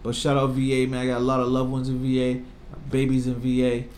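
A man's voice: medium pitch, completely flat delivery, quick pace, a little mellow. An American man in his thirties chatting casually as an interviewer, slangy and repeating phrases for emphasis. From Virginia, United States. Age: 30s. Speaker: podcast host and interviewer. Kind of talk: casual chat. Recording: echoey room, audible background noise.

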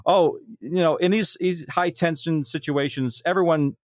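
A man's voice: fairly high pitch, moderate pace, no echo. A middle-aged man speaking conversationally as an interview guest, explaining his creative work. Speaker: audio drama writer and producer, interview guest.

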